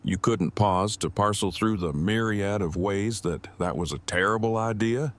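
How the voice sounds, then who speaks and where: A man's voice: fairly low pitch, normal speed, lightly acted. An actor playing an earnest male survivor who talks in stilted, wordy, formal phrases in an audio drama. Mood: upset, earnest.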